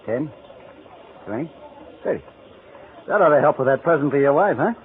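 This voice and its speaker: An actor playing an eager, friendly, chatty co-worker, excitable and a little stammering, in 1940s radio style. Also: male voice, fairly high pitch, moderate pace, natural voice. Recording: tinny, hissy old radio recording.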